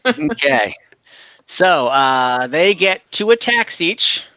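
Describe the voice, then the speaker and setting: Bright-voiced game master, slightly dull audio. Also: mid-range voice, big pitch swings, slow, no room sound. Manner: informal, thinks out loud while tallying dice. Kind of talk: narrating a tabletop role-playing game. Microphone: online group call.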